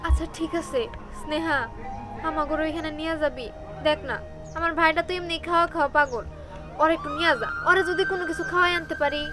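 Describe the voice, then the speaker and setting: A woman's voice: high-pitched, fairly even intonation, quick pace, music in the background, a crowd in the background, close to an everyday voice. A woman voicing a poor, earnest young girl who pleads for her hungry brother in a Bengali cartoon. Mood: pleading.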